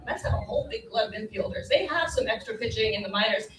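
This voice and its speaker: A woman's voice: fairly high pitch, expressive, quick pace, a bit bright. A woman giving conversational sports analysis on a panel, emphatic and repeating key phrases for weight. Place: live stage at a fan convention. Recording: echoey room, distant from mic.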